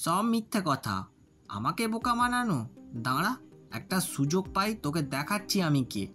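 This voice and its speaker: A man's voice: sits high, big pitch swings, normal speed, pushed and bright, full character voice. A man playing a greedy, two-faced, suspicious business partner in a cartoon story. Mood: suspicious, angry. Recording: music under the voice.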